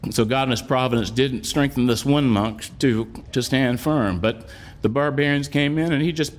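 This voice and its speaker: A man's voice: mid-range voice, moderate pace. A man who is a priest, delivering a sermon. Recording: clear background noise, live room sound.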